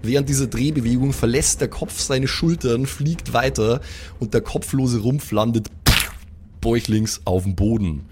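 A man's voice: medium pitch, normal speed, background noise. A man with a Bavarian accent improvising colloquial, vivid narration as the game master of a tabletop game.

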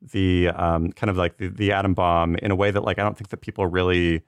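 A man's voice: low-pitched, little pitch movement, a little fast, clear, resonant tone, no room sound, studio quality. A man talking casually as a podcast panelist, hedging and rambling, with "like" peppered through his sentences. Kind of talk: casual conversational discussion.

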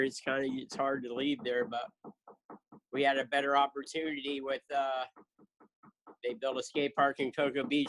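A man's voice: medium pitch, fairly bright tone, a little hiss or hum, rough recording. A man in his fifties, a guest in a casual interview, telling his story in an earnest, chatty way. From southern United States. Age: 50s. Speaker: guest, charity founder.

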